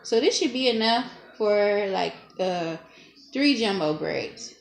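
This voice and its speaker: A young woman talking casually through a hair-braiding tutorial at home, chatty and self-teasing, laughing often, recorded on a camera propped low in front of her. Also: expressive, slow pace, vocal fry. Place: small dim room at home. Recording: echoey room, faint noise.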